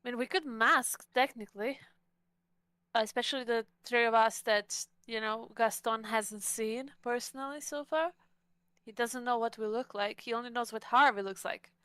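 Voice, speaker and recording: A woman's voice: fairly high pitch, fairly even intonation, normal speed, fairly bright tone, smooth, no room sound. A woman playing a tabletop roleplaying game, talking casually through plans with the group. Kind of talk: casual in-game planning chat.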